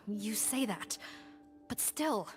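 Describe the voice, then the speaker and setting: A woman's voice: medium pitch, expressive, very slow, faint music behind. A woman playing a serious, dutiful law officer in a video game, speaking formally. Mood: firm, dutiful.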